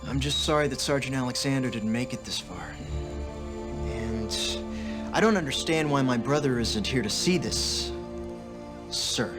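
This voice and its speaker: An actor playing a wisecracking, hot-headed soldier hero in a science fiction video game cutscene. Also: male voice, mid-range voice, measured pace, close to an everyday voice. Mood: respectful, subdued. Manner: cocky, sarcastic. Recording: music behind the voice. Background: music.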